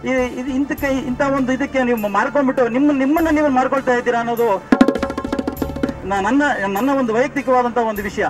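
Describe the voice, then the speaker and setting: A man with a Karnataka accent making a pleading, haltingly phrased appeal as a member of the public. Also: extremely high pitch, fairly even intonation, loud music behind the voice.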